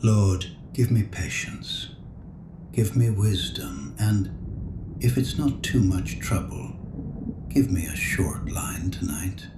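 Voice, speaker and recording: An actor playing a kindly, patient old priest in an audio drama, speaking gently and deliberately like a father to his flock. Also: deep voice, expressive. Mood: weary, prayerful. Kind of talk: full-cast audio drama acting. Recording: roomy echo, faint background noise.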